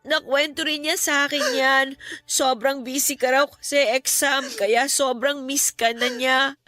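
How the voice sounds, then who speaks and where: A gentle young Filipina sister in a radio drama, earnest and sorrowful as she consoles. Her voice is high and very bright, over faint music. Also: level tone, measured pace, no room sound.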